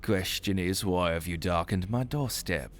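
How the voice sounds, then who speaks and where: A man's voice: low voice, unhurried, faint music behind, voice pushed down. A man playing a cold, haughty young nobleman who leads an academy society, speaking formally and with disdain in a fantasy audio roleplay. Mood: cold, imperious.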